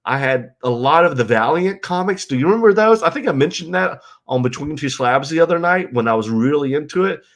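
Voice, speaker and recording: A man's voice: on the high side, expressive, fairly quick, hardly any pauses. A man with a stuffed-up, congested voice hosts a rambling, casual livestream from a guest bedroom at home, chatting loosely and reading viewer comments aloud. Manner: rambling, loose, full of ums and you-knows. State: stuffed-up nose and congested from illness. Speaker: livestream host.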